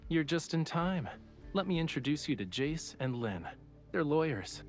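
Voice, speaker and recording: A man's voice: a little high, very animated, moderate pace, soft background music. An actor voicing a playful, self-assured young male artist in a romance video game, with a casual, lazily teasing manner. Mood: playful, calm.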